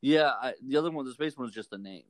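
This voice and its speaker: A man from Texas in casual chat as a podcast co-host, joking and quick to correct the other speaker. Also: medium pitch, no room sound.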